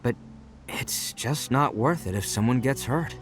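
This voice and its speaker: An actor voicing a brash, boisterous, big-hearted male oni gang boss in a video game, talking loudly and boastfully and laughing often. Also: a little slow, close to an everyday voice. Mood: earnest. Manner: loud, boastful, laughs often, lively swings in pitch. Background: game music.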